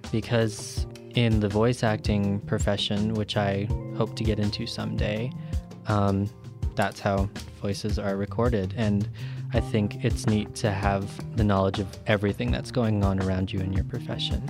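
A young man talks sincerely about his experience, pausing with ums between his thoughts. His voice sits low and stays quite flat. Plucked guitar plays clearly under his words. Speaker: youth radio reporter. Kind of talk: personal testimonial. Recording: music bed behind the voice.

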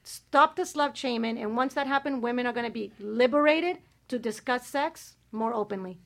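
A woman's voice: fairly high pitch, normal speed, creaky. A woman hosting an explicit casual podcast chat, talking in a brash, boastful and blunt way. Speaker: host.

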